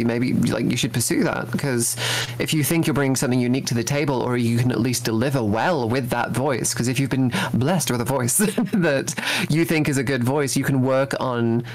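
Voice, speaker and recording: A young man, a voice actor guest, talking casually in an interview with lots of ums and restarts. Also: mid-range voice. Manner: hesitant, many fillers and restarts.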